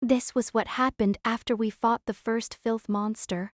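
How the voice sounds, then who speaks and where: A woman's voice: fairly high pitch, fairly even intonation, unhurried, no room sound, studio quality, pitched above the performer's own voice, natural voice. A woman voicing a composed young female student in audio drama dialogue. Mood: calm, reporting.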